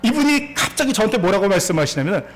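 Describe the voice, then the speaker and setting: A man's voice: high voice, big pitch swings, very fast, slight background noise. A man in his forties preaching a sermon as pastor, telling a story and laughing along with it, with many questions put to the congregation. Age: 40s.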